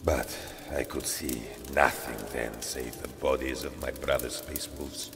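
An actor playing a grave, battle-worn space warrior, telling his tale in a measured, solemn dramatic style. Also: a bass voice, fairly even intonation. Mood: somber. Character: brooding battle-worn Space Wolf warrior recounting a lost battle. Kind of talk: dramatic audio-drama storytelling. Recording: long reverb with music underneath.

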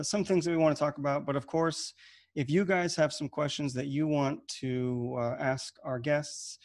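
Relaxed man hosting a video-call panel. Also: mid-range voice. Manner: relaxed, repeats words and restarts often. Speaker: webinar host and moderator. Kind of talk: casual online panel moderation. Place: home room with shelves of gems.

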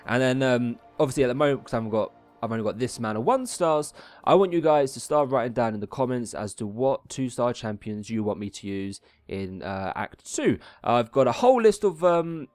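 A man's voice: mid-range voice, lively intonation, a little fast, few pauses, darkish tone, no echo. Man doing casual game commentary. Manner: chatty, colloquial, reads story dialogue aloud theatrically. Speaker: gaming video host. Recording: music behind the voice. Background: game music.

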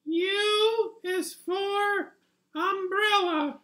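A man voicing a patient zebra puppet, slowly reciting in a children's puppet show. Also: extremely high pitch, bright, forceful tone, voice pushed up, heavily acted, rough recording. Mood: patient, deliberate. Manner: slow, deliberate.

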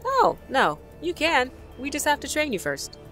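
An actress playing a dutiful, instructive assassin in an audio drama. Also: female voice, high-pitched, huge pitch swings, moderate pace, fairly bright tone, faint music behind, heavily acted.

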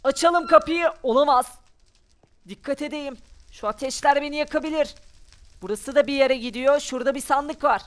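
A woman giving playful game commentary, exclaiming and narrating each of her moves aloud. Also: high voice. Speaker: gaming video player. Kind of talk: playful Minecraft adventure commentary.

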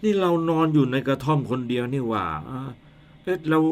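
A man's voice: mid-range voice, expressive, brisk, slight background noise, lightly acted. A man playing a jumpy young village man who grumbles and stammers in a radio ghost drama. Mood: grumpy.

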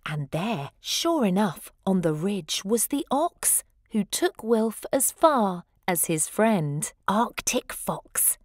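A woman narrates a rhyming picture book for children in an unhurried, expressive way. She leans on key words for drama, and the sound is dry and close. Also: mid-range voice, no echo. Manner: measured, dramatic emphasis. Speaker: storybook reader.

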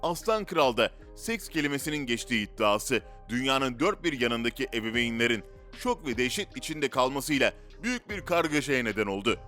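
A man's voice: medium pitch, sing-song, fairly quick, sharp tone. A man reads scripted narration in a dramatic, rhetorical storytelling way. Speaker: narrator of a list video. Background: background music.

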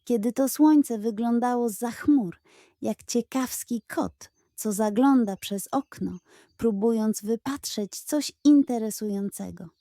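Unhurried high-voiced woman storyteller. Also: dry recording, studio quality. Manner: expressive, playful storytelling. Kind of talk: scripted narration of children's bedtime story.

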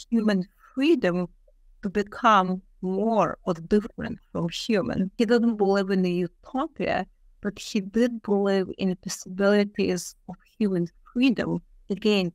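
A woman's voice: mid-range voice, no echo, low-quality recording. Thoughtful woman explaining, emphatic and dry-sounding. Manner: thoughtful, emphatic, repeats key phrases. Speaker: interviewed literature professor. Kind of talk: unscripted interview explanation.

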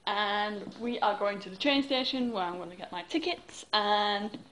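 A woman's voice: medium pitch, expressive, moderate pace, very noisy. A young woman from England chatting casually to a phone camera held at arm's length, rambling with lots of fillers. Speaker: vlogger. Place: bedroom, then indoors at home.

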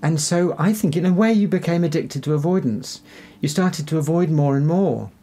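A man speaks as an interview guest with a soft, dark tone and plenty of creak. He explains carefully and reassuringly, in a conversational way. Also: fairly high pitch, normal speed.

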